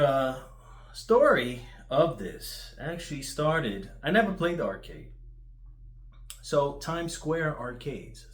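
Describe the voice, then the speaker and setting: Echoey, casual New guy rambling. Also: mid-range voice. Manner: informal, blunt, sweary. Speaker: YouTuber telling stories about video games. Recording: roomy, echoey sound.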